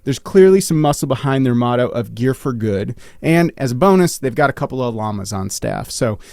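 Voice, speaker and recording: A man's voice: mid-range voice, lively intonation, fairly quick, gravelly, a little mellow, no echo, clean studio sound. A man hosting a podcast, reading a polished, upbeat introduction and then asking interview questions. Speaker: podcast host.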